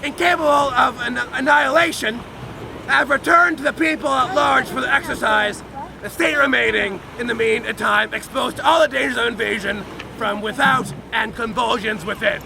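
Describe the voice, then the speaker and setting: A man giving a loud, dramatic, emphatic reading of a historical document outdoors, with deliberate phrasing. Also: very high-pitched, gravelly. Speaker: reader at an outdoor public reading. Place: outdoors on a lakeside beach. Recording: outdoor crowd and motorboat noise.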